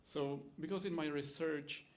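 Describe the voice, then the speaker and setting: A man's voice: fairly high pitch, steady tone, unhurried. A man from the Czech Republic with a Czech accent, a university lecturer giving a teaching demonstration in English, his second language, with frequent fillers and restarts.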